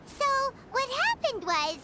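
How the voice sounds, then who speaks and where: A tiny, eager fairy girl from a video game. The voice is extremely high, cute and squeaky, with animated swings in pitch. Clear noise sits around it. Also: measured pace, heavily acted. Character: small floating fairy companion, childlike, eager girl.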